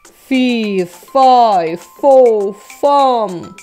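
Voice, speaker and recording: A woman's voice: high voice, sing-song, takes it slowly, soft background music, full character voice. A woman playing a playful cartoon mommy coaxing her toddler in singsong baby talk for children's toy role-play. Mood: playfully menacing.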